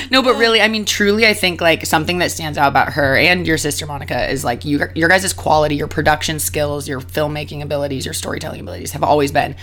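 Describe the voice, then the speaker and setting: Young woman, hoarse worn-out voice, bubbly upbeat podcast host, somewhat low pitch, casual hotel-room chat. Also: fast talker, a bit bright, a little hiss or hum. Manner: bubbly, upbeat, laughs often.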